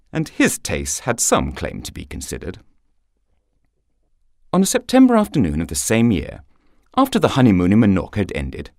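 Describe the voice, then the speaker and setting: A man's voice: lowish voice, no room sound, studio quality. A man narrating a scripted short story for radio in a wry, theatrical storytelling manner.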